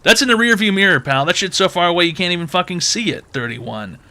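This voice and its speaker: Brash, bright-voiced male advice host. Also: high-pitched, lively intonation, quick pace. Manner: brash, mocking, profane, loud outbursts. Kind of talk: crude call-in advice banter.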